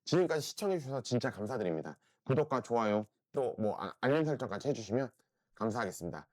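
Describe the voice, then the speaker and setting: A middle-aged man with a hoarse voice from a throat cold, earnestly and persuasively reviewing a home body-composition scale in a casual vlog. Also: medium pitch, big pitch swings, fast talker, a little mellow, dry recording, studio quality. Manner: earnest, repeats "진짜" often, persuasive. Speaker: diet product reviewer.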